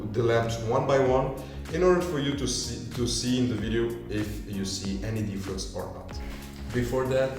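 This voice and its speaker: Deep voiced guy doing a casual gear walkthrough, english not his first language, super echoey and distant, music in the back. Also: darkish tone. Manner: non-native English phrasing. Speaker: video creator. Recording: echoey room, far from mic, music behind.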